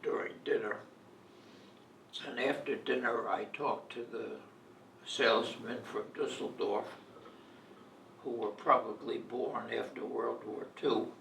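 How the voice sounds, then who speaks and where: An elderly man in his eighties or older telling personal stories in a halting, deliberate way, as in an interview. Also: mid-range voice, fairly even intonation, gravelly, a bit bright. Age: 80s or older. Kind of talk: reminiscing, personal storytelling. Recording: echoey room, distant mic, faint noise.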